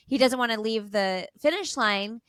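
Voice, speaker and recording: A woman's voice: fairly high pitch, normal speed, fairly bright tone, smooth, no echo, clean studio sound. A chatty woman guest, a podcast host and race announcer, talking casually and laughing often. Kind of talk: casual chat.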